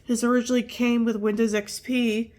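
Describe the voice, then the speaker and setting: A woman's voice: on the high side, flat delivery, brisk, darkish tone. Nasal young woman rambling about computers. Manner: rambling, self-correcting, apologetic. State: nasal from pollen allergies. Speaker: tech video host. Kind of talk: casual hardware walkthrough.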